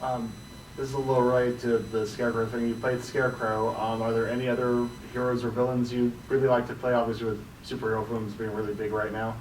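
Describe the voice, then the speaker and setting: A man's voice: mid-range voice, flat delivery, fast, a little mellow, a little hiss or hum. A man asking casual interview questions in a somewhat hesitant way. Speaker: interviewer, fan website writer. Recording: distant mic in echoey room.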